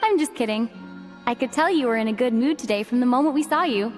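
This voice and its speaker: A young female traveler from a video game, voiced by a woman, gentle and composed. The voice is high and sounds earnest and kind. Music plays quietly underneath. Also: expressive, fast talker, natural voice.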